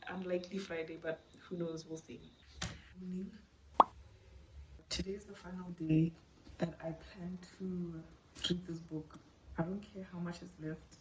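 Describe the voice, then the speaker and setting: A woman's voice: lowish voice, slight background noise, poor audio. A woman chatting casually and candidly in slangy, conversational language to camera, as a book vlogger. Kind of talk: casual reading vlog chat to camera.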